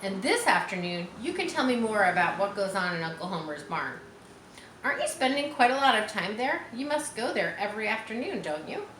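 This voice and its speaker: A woman's voice: medium pitch, sing-song, a little fast, a bit bright, echoey room, slight background noise, rough recording. A woman reading the part of a polite, fretful farm mother in a storybook. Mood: brisk, motherly. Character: worried, proper farm mother.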